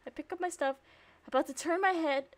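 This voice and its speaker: A woman streamer reading visual novel narration and dialogue aloud, with sarcastic, expressive reactions. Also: high voice, a little fast.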